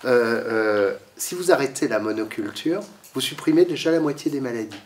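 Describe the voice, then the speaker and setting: A man's voice: medium pitch, animated, moderate pace, recorded from a distance, a little hiss or hum. A man with a cold giving a talk to a library audience, lecturing with lots of fillers and restarts, coughing now and then. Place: library meeting room.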